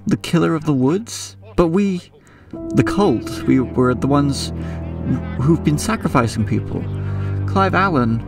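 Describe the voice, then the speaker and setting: Guy playing a freaked out captive man, anxious n stammery, bit high pitched, horror audio play with music. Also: lively intonation, a little slow, gravelly. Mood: alarmed. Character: frightened, bewildered male captive and former cult member. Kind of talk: horror audio drama acting.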